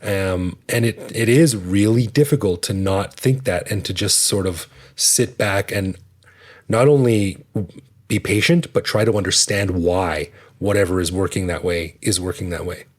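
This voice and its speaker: A man's voice: low voice, a little slow. A man co-hosting a podcast, chatting casually, joking and laughing often. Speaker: podcast co-host.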